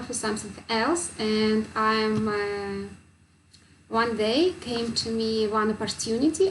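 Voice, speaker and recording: A woman from Ukraine with a Ukrainian accent, co-hosting a webinar in halting, simple phrasing. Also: fairly high pitch. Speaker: webinar co-host. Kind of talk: casual sales pitch. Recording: echoey room, faint noise.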